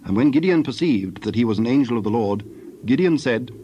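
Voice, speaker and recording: A man narrating scripture from a script in a measured, formal manner. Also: mid-range voice, expressive, mellow, dull audio, slight background noise.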